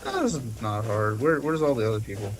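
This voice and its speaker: A man's voice: fairly low pitch, sing-song, brisk. A man giving casual gameplay commentary and reading game text aloud, with faint noise behind him. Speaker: gaming streamer.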